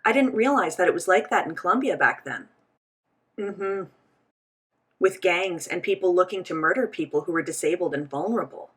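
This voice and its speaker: A woman voicing the interpretation of a signed interview, speaking for both host and guest in a measured first-person delivery. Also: fairly low pitch, lively intonation, a bit bright, slightly rough.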